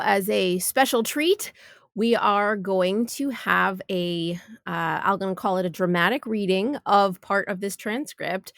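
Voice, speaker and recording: A woman's voice: mid-range voice, a bit bright, no echo, studio quality. A woman hosting a podcast in a scripted news-magazine style. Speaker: podcast host.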